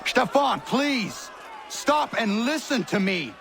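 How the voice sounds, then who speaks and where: An actor playing an earnest, level-headed young man in a video game, speaking gravely and plainly with a gravelly voice. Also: very high voice, sing-song, slow pace, a crowd in the background, exaggerated voice. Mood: pleading, firm. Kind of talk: video game cutscene acting.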